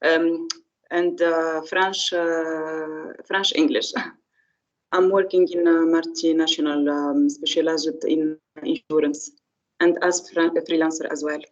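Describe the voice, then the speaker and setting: Tunisian speaker, Arabic native, very slow, hesitant, many pauses, distant, dull lo-fi call audio. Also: male voice, fairly high pitch, little pitch movement. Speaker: guest. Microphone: video call.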